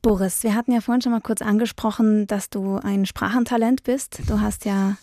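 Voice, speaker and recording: A woman radio host with a very soft-edged, mellow tone at a medium pitch, speaking. Her delivery is conversational, and the sound is close and dry. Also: fairly even intonation, moderate pace, no echo. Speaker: radio music show host. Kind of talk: conversational radio interview hosting.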